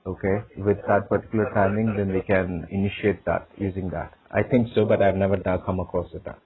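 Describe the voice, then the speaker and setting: A lowish-voiced man speaks over a thin, narrowband phone connection. He answers like a job candidate, hesitating and repeating short words as he explains himself. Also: level tone, a little fast, slight background noise. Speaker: job candidate in a technical interview. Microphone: phone call. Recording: narrowband tinny phone line.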